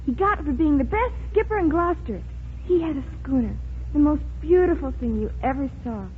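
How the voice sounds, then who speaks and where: On a muffled, faintly hissing old radio recording, a young daughter in a high, very lively voice. She sounds earnest, girlish and stubbornly trusting, in 1940s radio drama style. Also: fairly quick. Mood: proud, eager. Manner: earnest, girlish, wide-eyed.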